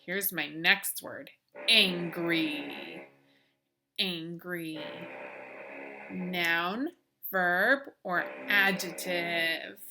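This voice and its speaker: A woman's voice: on the low side, extremely slow, long pauses, over music. A woman teacher leading an interactive children's lesson, encouraging, with simple instructions, questions and repetition. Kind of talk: interactive children's lesson, addressing viewers through the screen.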